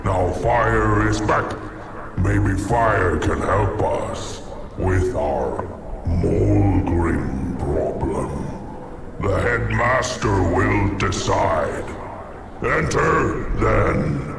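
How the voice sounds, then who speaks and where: Pompous creature doorkeeper: gravelly, extremely slow, echoing from a distance. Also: male voice, low voice, animated, full character voice. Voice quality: heavily creaky. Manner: pompous, very drawn-out. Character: haughty gloomy creature gatekeeper, wary of outsiders. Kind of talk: dark fantasy game acting. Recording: echoey, distant, music behind.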